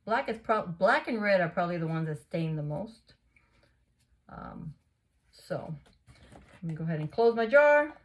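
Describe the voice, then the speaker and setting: A woman hosting a casual craft tutorial, chatting through each step and a little hoarse and sniffly. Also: lowish voice, expressive, moderate pace, pauses often. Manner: chatty, narrates each step, gushes over results. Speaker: craft video host.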